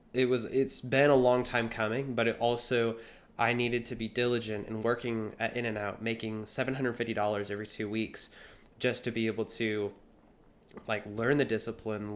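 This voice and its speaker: A twenty-year-old man vlogging in a casual, earnest, somewhat rambling way, as a young entrepreneur talking to camera. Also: medium pitch. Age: 20 years old.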